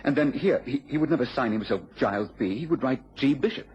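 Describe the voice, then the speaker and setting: Anxious, quick-talking English gentleman. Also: medium pitch, lively intonation, slightly rough. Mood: anxious, eager. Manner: hesitant, eager, stammering slightly. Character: anxious, earnest, deferential gentleman seeking help. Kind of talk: 1950s-60s British radio drama acting. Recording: dull, hissy old radio recording.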